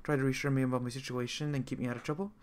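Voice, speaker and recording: Man, mid-pitched voice, dramatic read-aloud narration, expressive, occasional stumbles over the script. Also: rapid-fire. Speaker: visual novel player reading aloud.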